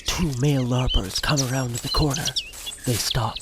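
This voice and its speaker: A man's voice: medium pitch, normal speed, very noisy. A man narrating a scripted comic audio drama in a wry storytelling manner. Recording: music behind the voice.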